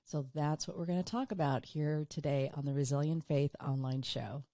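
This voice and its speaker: A woman hosting her own business podcast, talking earnestly on her own, a little hoarse and tired from illness. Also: low-pitched, steady tone, moderate pace, dark tone, no echo, clean studio sound, soft background music. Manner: earnest, repeats for emphasis. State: hoarse, recovering from illness, fatigued. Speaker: podcast host. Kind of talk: solo business podcast monologue.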